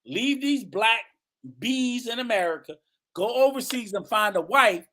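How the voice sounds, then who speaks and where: High animated guy, bright voice, pushes back and ribs people. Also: measured pace. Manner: argumentative, teasing. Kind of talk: casual chat.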